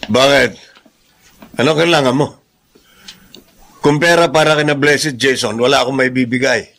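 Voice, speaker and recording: A man's voice: mid-range voice, steady tone, normal speed, a bit bright, lightly acted. An actor playing a selfish, defensive middle-aged father in a Filipino radio drama, curt and irritable. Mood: irritated, defensive. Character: selfish, defensive father shirking his grown children.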